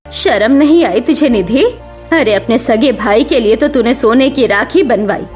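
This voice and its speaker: A woman playing a stern, sharp-tongued middle-aged mother-in-law in a cartoon family melodrama, speaking emphatically. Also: a little high, normal speed, soft background music, close to an everyday voice. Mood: scolding. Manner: scolding, emphatic.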